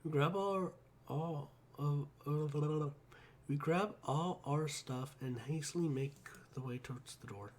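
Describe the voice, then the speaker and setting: A man's voice: on the high side, a little slow, a little mellow. Man reading a visual novel aloud, playful. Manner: playful, joking asides while reading.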